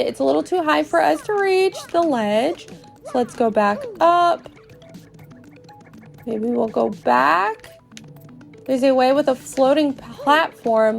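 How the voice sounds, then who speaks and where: High-voiced woman playfully narrating a video game. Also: creaky. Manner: cutesy, expressive, storyteller-like, uses sound words. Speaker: podcast host doing a dramatic read-along of a video game. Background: music.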